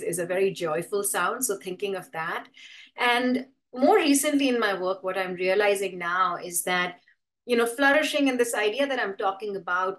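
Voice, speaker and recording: A woman's voice: medium pitch, a bit bright. Thoughtful woman presenter. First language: Hindi. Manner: thoughtful, explanatory, often pausing to rephrase. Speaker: presenter with a background in urban design. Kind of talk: conversational presentation to an online or live audience.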